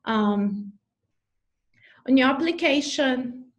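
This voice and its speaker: A woman's voice: high-pitched, animated, slow pace. A neurologist from Brazil with a Brazilian Portuguese accent gives advice over a video call. Her Portuguese-first English is hesitant and full of fillers and restarts. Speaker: neurologist faculty member. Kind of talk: advice talk in an online info session.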